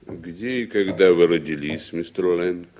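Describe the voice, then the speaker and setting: A man's voice: sits low, unhurried, a little mellow, dull audio, a little hiss or hum. An actor playing a stern, humourless committee chairman in a formal, imperious manner, in 1960s Soviet radio drama style. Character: stern, humourless American congressional committee chairman interrogating a witness.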